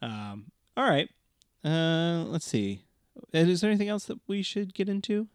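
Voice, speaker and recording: A woman's voice: lowish voice, normal speed, a little mellow, smooth, dry recording, clean studio sound. A woman in her thirties chatting casually as a podcast co-host, with a wry, sarcastic way of acting out remembered lines. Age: 30s. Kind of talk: casual conversational chat.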